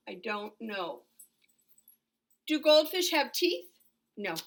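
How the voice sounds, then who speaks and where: Middle-aged woman, high-pitched, bright forceful tone, schoolteacher addressing small kids, simple question-and-answer phrasing. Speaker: elementary school teacher. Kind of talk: lesson for young children, read to camera.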